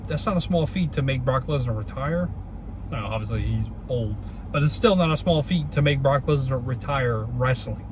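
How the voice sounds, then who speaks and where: Congested young man, excitable wrestling vlogger. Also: fairly high pitch, slight background noise. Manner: excitable, repeats rhetorical questions, many fillers. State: congested from a cold. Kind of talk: casual solo opinion vlog.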